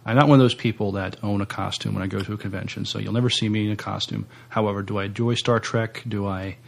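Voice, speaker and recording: A man with a lowish, gravelly voice that stays quite monotone. He chats casually as a podcast co-host, hesitating and doubling back on his words. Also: quick pace. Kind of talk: casual chat.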